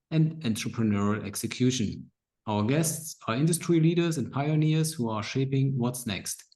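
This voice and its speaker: A man hosts a business podcast and welcomes his guest eagerly, often repeating words for emphasis. His voice sits at an ordinary middle pitch. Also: a little mellow. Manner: enthusiastic, repeats "really". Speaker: podcast host. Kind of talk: podcast interview hosting.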